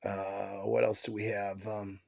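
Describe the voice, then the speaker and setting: A man hosting a casual sports podcast, talking with a stuffed-up head cold, halting with many fillers and restarts as an excited fan. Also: fairly low pitch, creaky. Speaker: podcast host.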